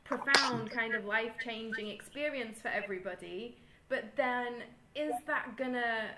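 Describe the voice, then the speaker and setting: A woman's voice: on the high side, measured pace. A woman in her thirties hosting a video call panel, chatty and full of fillers. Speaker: festival host moderating panel. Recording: echoey room with faint noise.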